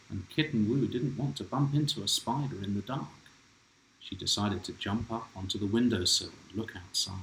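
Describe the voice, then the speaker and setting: A man's voice: on the low side, slight background noise. A man reading his own children's picture book aloud, stopping to ask the listening child questions. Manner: gentle, asks the child and viewers questions. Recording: roomy home room echo.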